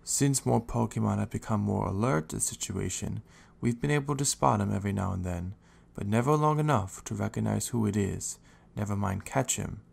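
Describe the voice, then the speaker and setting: A low, very gravelly voice with a husky edge belongs to a steady Raichu guardian acted by a man. He explains things in a calm, measured way, as in a fantasy audio drama. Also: exaggerated voice. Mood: earnest, explaining. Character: calm, helpful adult male Raichu guardian, steady and responsible.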